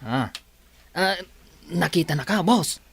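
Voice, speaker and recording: A man's voice: on the high side, lively intonation, slow, creaky, slightly rough, dry recording, exaggerated voice. A man playing a boss's nosy, familiar sidekick in a radio comedy drama. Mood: nosy, amused.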